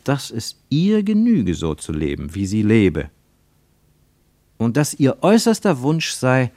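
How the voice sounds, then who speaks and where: A man narrating a literary radio travelogue in a measured, emphatic and carefully phrased way. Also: medium pitch, wildly swooping pitch, dark tone, no echo. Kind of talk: scripted literary narration, German radio play.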